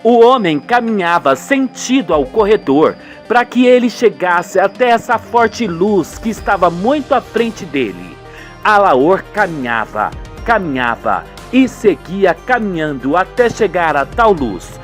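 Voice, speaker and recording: A man's voice: sits high, big pitch swings, unhurried, faint music behind. A man with a Brazilian accent giving theatrical, dramatic narration of a radio soap.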